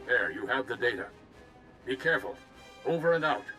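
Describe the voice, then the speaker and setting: A man playing an earnest, steady Jedi commander who gives serious tactical briefings in a video game. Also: medium pitch, brisk. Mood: serious, cautious. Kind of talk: video game cutscene acting. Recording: long hall reverb, faint game music.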